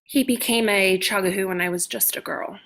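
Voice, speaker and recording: A woman's voice: medium pitch, fairly even intonation, brisk, a bit bright, close to an everyday voice. A woman playing a stern, mysterious guardian of a shapeshifter, solemn and commanding, in a comedy horror reading. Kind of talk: comedic horror script table read.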